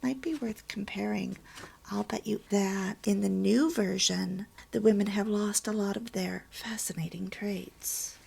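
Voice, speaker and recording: A woman hosting a homemaking channel, reading a pamphlet aloud at home and adding her own comments in a soft, hushed, half-whispered voice. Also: medium pitch, steady tone, unhurried. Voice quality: breathy. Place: home, early morning.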